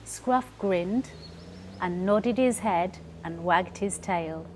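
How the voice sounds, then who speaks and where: A woman's voice: fairly low pitch, slow pace, slight background noise. A woman professional storyteller narrating a children's story expressively, leaning into the sound words. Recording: music behind the voice. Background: music.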